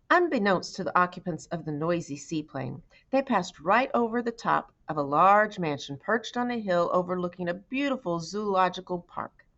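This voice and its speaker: A woman narrates a storybook in a read-aloud style. Her voice sits a little low for a woman, and the recording is slightly dull and lo-fi. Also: animated, normal speed, fairly bright tone. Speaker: audiobook reader.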